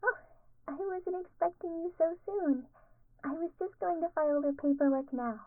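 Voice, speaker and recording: A woman voicing a gentle, friendly young female clinic assistant in an ASMR roleplay, soft-spoken, polite and caring. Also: very high voice, moderate pace, phone-quality sound, voice pushed up. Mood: gentle, attentive.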